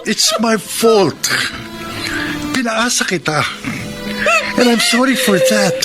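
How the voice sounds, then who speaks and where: An actor playing a callous, vain young Filipino boyfriend in a radio romance drama. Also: male voice, very high voice, animated, slow pace, heavily acted. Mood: apologetic, firm. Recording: music bed under the voice.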